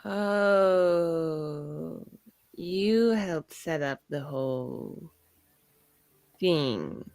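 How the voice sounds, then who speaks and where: Lively, slow-paced woman gamer. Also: low-pitched, creaky, no echo. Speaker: player in a tabletop roleplaying game. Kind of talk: casual table banter.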